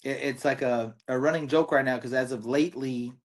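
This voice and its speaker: A man's voice: medium pitch, fairly even intonation, fairly quick. A man, hosting a casual podcast and chatting with lots of slang. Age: 30s. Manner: laid-back, boastful, lots of slang. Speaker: podcast host.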